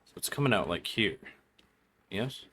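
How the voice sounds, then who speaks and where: A man's voice: on the low side, lively intonation, normal speed, sharp tone. A man doing casual live gameplay commentary as a streamer, muttering to himself and his chat in slangy, sweary language. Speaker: game livestreamer.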